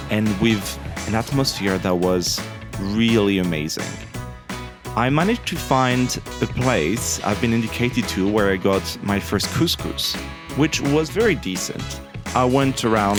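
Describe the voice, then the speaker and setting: A young man with a fairly low voice talks through his travels in a loose, easygoing way, dropping in plenty of small fillers as he goes. Soft music plays quietly underneath him. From Morocco. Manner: relaxed, chatty, many fillers like "like" and "uh". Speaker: travel vlogger. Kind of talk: casual travel vlog narration.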